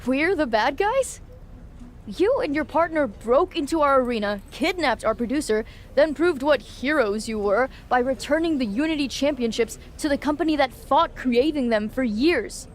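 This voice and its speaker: A woman's voice: sits high, expressive, normal speed. A woman playing an offbeat, cheeky rebel wrestler in a video game, flippant and teasing. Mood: challenging. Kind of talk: video game cutscene dialogue.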